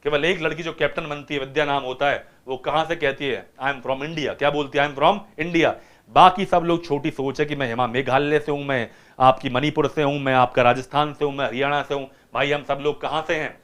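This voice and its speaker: A man's voice: fairly high pitch, steady tone, brisk, a bit bright. A man teaching vocabulary to students by retelling a film, keeps asking them rhetorical questions.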